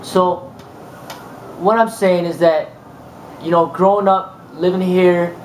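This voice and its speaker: A man's voice: sits high, steady tone, slow pace, darkish tone, slight background noise. Rambling man in an echoey room. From United States. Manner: rambling, many fillers and restarts. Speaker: martial arts instructor. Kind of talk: casual monologue.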